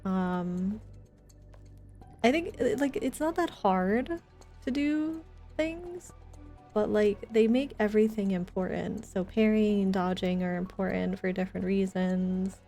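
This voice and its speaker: Woman, game streamer, mellow tone, medium pitch, casual playful banter, faint background music. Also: measured pace. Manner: playful teasing banter, gasps at discoveries. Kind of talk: casual livestream gaming chat.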